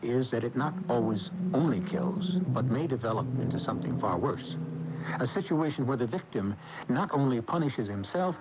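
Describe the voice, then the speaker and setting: American man, mid-pitched, grave deliberate host, ominous scripted introduction, noisy muffled AM radio tape.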